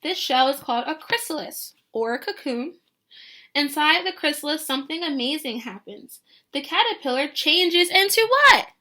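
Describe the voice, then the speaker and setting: High, bright-voiced woman reading to children. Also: normal speed. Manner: animated, questioning, talks directly to children. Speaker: teacher reading a picture book to children. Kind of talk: read-aloud lesson for young children.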